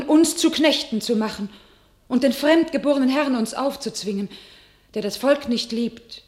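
A woman's voice: on the high side, darkish tone, lightly acted. A woman acting a devout, visionary young shepherdess in a classical radio play, declaiming verse solemnly and resolutely.

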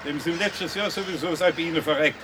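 A man's voice: fairly high pitch, moderate pace, natural voice. An actor playing a blunt, unbothered rural woodsman with a southern German accent in a radio play. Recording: very noisy outdoor scene, animal sounds.

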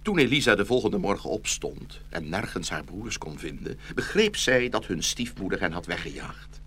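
Male fairy-tale narrator: lowish, animated, forceful tone, scripted storytelling over faint noise. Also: normal speed, husky.

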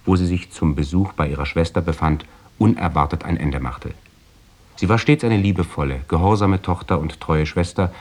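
Deep-voiced man solemnly reading aloud. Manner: solemn, deliberate. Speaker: reader of a document. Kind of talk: formal recitation of a death notice.

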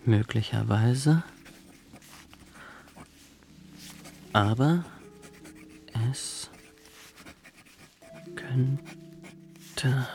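An earnest young inspector in a radio drama. His voice is lowish and creaky, and he moves slowly and methodically through his words. The delivery is formal, clipped and full of zeal. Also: a little mellow. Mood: suspicious, brooding. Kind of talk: radio drama, dictated case log monologue.

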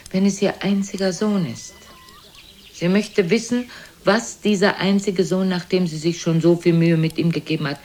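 A woman's voice: deep voice, a little mellow, slight background noise. An actress playing an imperious, blunt, aged mother who coolly belittles her son in a theatrical radio play. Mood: insistent, demanding. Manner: haughty, probing, theatrical. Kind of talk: German radio play acting.

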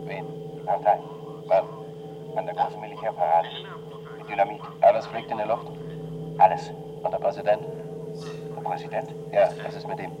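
Radio drama conspirator, male actor: tense, furtive, fairly deep, noisy hum behind. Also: fairly even intonation, moderate pace, pauses often, muffled recording, close to an everyday voice. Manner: clipped, furtive, urgent. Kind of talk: German radio drama acting. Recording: humming, noisy radio-like background.

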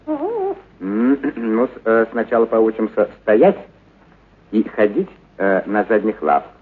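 A man's voice: lowish voice, huge pitch swings, slow, narrow, tinny audio, exaggerated voice. An actor playing a kindly, enthusiastic male circus animal trainer in a radio play, muttering hesitantly and repeating encouragements. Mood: coaxing, pleased. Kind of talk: dramatized literary radio play acting.

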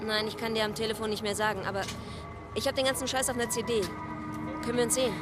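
A woman's voice: on the high side, little pitch movement, rapid-fire, over loud music, lightly acted. An actress playing a defiant woman journalist in a German radio drama, pointed and sardonic. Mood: casual, hurried. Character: defiant, accusing woman journalist confronting power.

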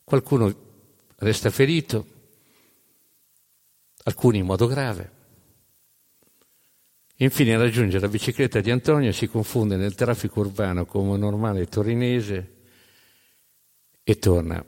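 Low-voiced male storyteller in a reverberant hall. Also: a little mellow. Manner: emphatic, short measured phrases with dramatic pauses. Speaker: narrator of a storytelling stage show. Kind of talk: dramatic narrative storytelling about history.

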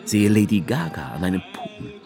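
An actor playing a brooding, sardonic author who narrates a radio play in the first person. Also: male voice, low-pitched, moderate pace, music in the background, lightly acted. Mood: observing, sardonic. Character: brooding male narrator-author, sensual, darkly sardonic witness.

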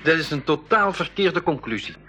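A wary brother on a jungle expedition. His voice is sharp and on the high side. He contradicts crisply, in the style of a 1980s radio play. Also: lively intonation, moderate pace. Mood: sceptical. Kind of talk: 1980s Dutch-language school radio drama acting.

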